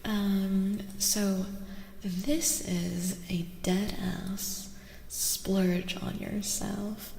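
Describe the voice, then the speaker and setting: A woman speaking soft ASMR, often slipping into whispers, with an informal, encouraging way of talking. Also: medium pitch, fairly even intonation, creaky. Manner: partly whispered, drawn-out delivery. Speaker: ASMR streamer giving tarot readings. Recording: reverberant echo, background hiss.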